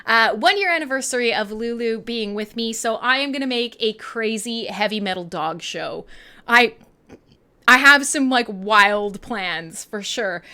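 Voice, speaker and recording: A Canadian woman hosting a live radio show with casual, irreverent chat, animated and slangy with some swearing. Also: fairly high pitch, a little slow, clear, resonant tone.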